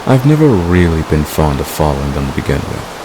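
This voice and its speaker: A man playing a devoted, manipulative villain in a romantic ASMR roleplay, speaking with deliberate, possessive intensity. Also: big pitch swings. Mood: menacing, possessive. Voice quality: very deep, gravelly. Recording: clear background hiss.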